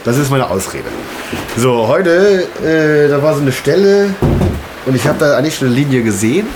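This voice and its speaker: Ein junger Mann erzählt locker und umgangssprachlich als Kajakfahrer direkt in die Kamera. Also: male voice, a little high, expressive, normal speed. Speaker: kayaker recounting the day. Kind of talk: casual storytelling to camera, slangy. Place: indoors in an unheated house. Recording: echoey room, noticeable background noise.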